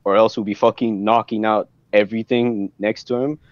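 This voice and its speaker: Low-voiced man, muffled and dry. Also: little pitch movement, normal speed, dry recording. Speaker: participant in an anime fan group discussion. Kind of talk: casual debate, slangy and profane. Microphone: online voice chat. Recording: muffled lo-fi voice chat.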